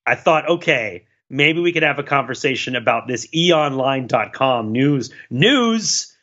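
Animated man podcaster, bright voice, slightly muffled. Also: mid-range voice, measured pace. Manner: animated, rambling, wryly self-deprecating. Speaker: podcast co-host. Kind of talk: casual chat.

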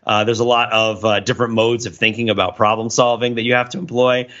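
A man hosting a podcast in casual chat, rambling through explanations in an animated, chuckling way. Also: medium pitch, fast talker. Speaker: podcast host. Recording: dull, lo-fi audio.

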